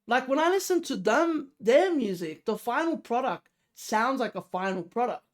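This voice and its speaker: Animated, emphatic reaction host with lively pitch. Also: normal speed. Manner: emphatic, repetitive, full of rhetorical questions; informal, slangy. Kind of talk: casual music commentary.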